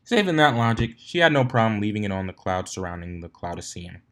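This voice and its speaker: A man's voice: lowish voice, sing-song, fairly quick. A man reading a fan fiction story aloud as its narrator, in scripted storybook style.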